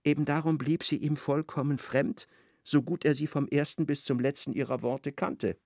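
A man narrating a literary radio play in measured, expressive storytelling. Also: fairly high pitch, creaky, dry recording, studio quality.

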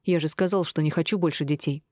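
A woman's voice: low voice, fairly even intonation, fast, darkish tone, dry recording, studio quality. A woman reading the part of a stubborn, insistent ex-husband, an indignant male doctor, in an audiobook. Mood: angry, stunned.

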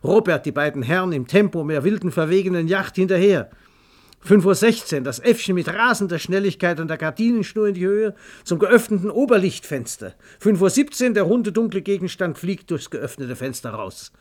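A man's voice: high voice, lively intonation, moderate pace. A man reads a scripted radio story in a theatrical, emphatic storytelling manner. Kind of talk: dramatic scripted storytelling narration.

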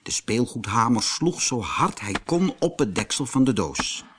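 Very animated, slow-paced male storyteller. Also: mid-range voice, husky. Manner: expressive, deliberate. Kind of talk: scripted children's storybook narration.

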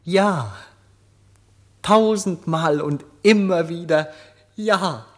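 An actor playing a noble young prince deeply in love in a German radio drama, lofty and fervent in manner. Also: male voice, drawn-out words. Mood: ardent. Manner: lofty, solemn, fervent declarations.

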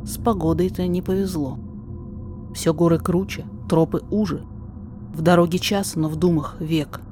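A woman reading a rhymed story aloud as theatrical, deliberate dramatic narration. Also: on the low side, steady tone. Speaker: podfic reader. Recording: music behind the voice.

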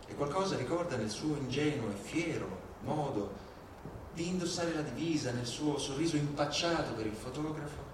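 Measured male reader in very echoey hall. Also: mid-range voice. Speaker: stage reader. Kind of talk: scripted reading of an essay. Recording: very echoey hall, distant, noisy.

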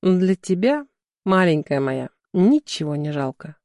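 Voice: medium pitch, very animated, unhurried, a little mellow, dry recording, studio quality. A narrator voicing a man of nearly thirty, a driver in love, in an audiobook. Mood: tender.

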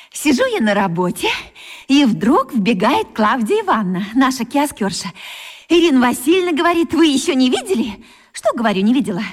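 An actress playing a chatty, proud mother in a radio play, telling her story in an animated way. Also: fairly high pitch, long reverb tail.

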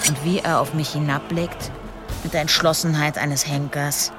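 A woman's voice: sits low, steady tone, normal speed, close to an everyday voice. Battle-hardened female warrior played by an actress, recounting combat in a radio drama over prominent music. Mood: grim, proud. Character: battle-hardened medieval warrior woman recounting her fights. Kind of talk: dramatic first-person narration in an audio drama. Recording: music under the voice.

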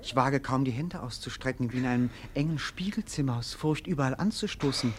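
Idealistic, melancholy young prince male actor, medium-pitched voice, languid lyrical declamation, classic radio drama. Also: brisk, noisy background, natural voice. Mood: weary, anxious. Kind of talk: classic German radio play acting.

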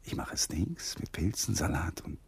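An actor playing a troubled, self-pitying widowed lawyer in a radio crime drama, with an emotional, brooding manner. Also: male voice, rumbling low, lively intonation, unhurried, gravelly, husky, whispered, full character voice. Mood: casual, inviting.

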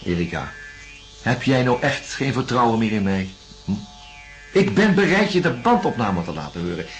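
An actor playing an insistent, placating psychiatrist in a radio drama, reasoning and coaxing in speech. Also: male voice, medium pitch, very animated, normal speed, soft background music. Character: male psychiatrist, placating and insistent, defending his methods.

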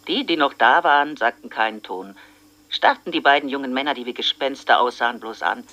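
An actor playing a sheriff's spouse, giving an anxious eyewitness account in a documentary radio drama. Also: male voice, mid-range voice, expressive, a little fast, dull audio, background noise, lightly acted. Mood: uneasy recollection. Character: anxious sheriff's spouse recalling the prisoners' arrival.

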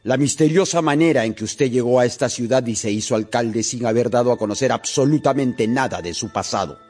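An actor playing a rigid, law-obsessed police inspector in a radio drama, formal, deliberate and brooding. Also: male voice, medium pitch, darkish tone, faint music behind, lightly acted. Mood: formal, stern.

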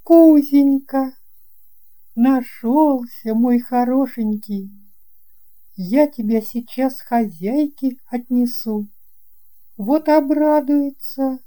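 Woman voicing a slow, high, gentle little girl. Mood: delighted, tender. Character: kind, confident eight-year-old girl who believes in fairy tales. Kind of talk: children's audio storybook dialogue.

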